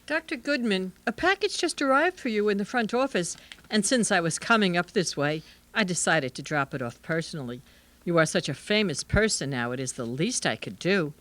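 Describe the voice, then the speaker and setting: Woman acting scheming, animated lady villain scientist. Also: lowish voice, normal speed. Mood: falsely sweet. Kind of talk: Golden Age radio drama acting.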